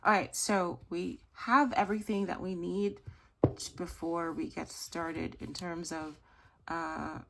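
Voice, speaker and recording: A woman hosting a nail art tutorial, chatty and gushing, slightly hoarse as she loses her voice. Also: fairly low pitch, steady tone, takes it slowly, background noise. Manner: chatty, gushing, self-correcting. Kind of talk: casual tutorial chat.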